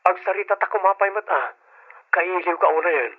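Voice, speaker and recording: A man's voice: high-pitched, lively intonation, fairly quick, slightly rough. A man playing an earnest, puzzled suitor, plaintive and questioning, in an old Ilocano radio drama. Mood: puzzled, pleading. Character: earnest, puzzled male suitor pleading for attention. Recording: tinny, muddy old radio phone line.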